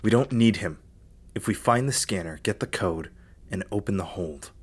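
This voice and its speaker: A man plays a stranded spaceship's acting captain in a game dub. The voice is deep, with a firm, commanding and measured manner. A little noise sits behind the serious, practical delivery. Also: expressive, close to an everyday voice.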